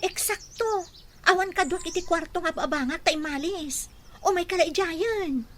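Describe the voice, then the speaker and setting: High-voiced easygoing young friend. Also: female voice, moderate pace, a bit bright, a little hiss or hum. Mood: agreeable. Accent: Ilocano. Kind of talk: Ilocano radio drama acting. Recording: radio drama with music bed.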